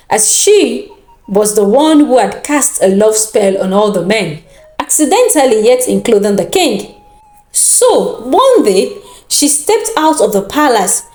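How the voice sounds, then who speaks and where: A woman with a Nigerian accent telling a scripted folktale in a dramatic, deliberate and expressive manner. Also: fairly high pitch. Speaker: storyteller. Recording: roomy echo with background music. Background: music.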